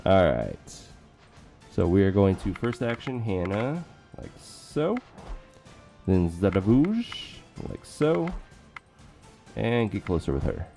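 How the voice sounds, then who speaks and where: Man, low dark voice, hoarse, casual game commentary, joking asides, soft music behind. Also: very animated, takes it slowly, pauses often. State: voice going hoarse. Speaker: let's play commentator.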